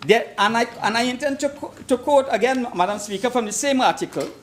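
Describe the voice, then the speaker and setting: A man's voice: very high voice, brisk. A Trinidadian man giving a formal parliamentary debate speech as an opposition member, emphatic and oratorical, repeating phrases for effect. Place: parliament chamber.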